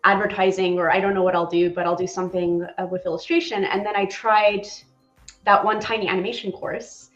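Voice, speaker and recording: A woman in her forties from Poland talks in a lively, chatty way as an interview guest. Her voice sits at an ordinary pitch, and the sound is roomy and a little muffled. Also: brisk, soft background music. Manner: chatty and animated, with laughs and many fillers. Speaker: animator guest. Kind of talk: casual interview chat.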